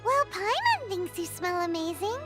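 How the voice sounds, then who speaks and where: A woman voicing a small, cheeky childlike fairy companion in a video game, squeaky, bubbly and blunt. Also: very animated, moderate pace. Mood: eager, cheeky. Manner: bubbly, blunt, refers to self by name. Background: music.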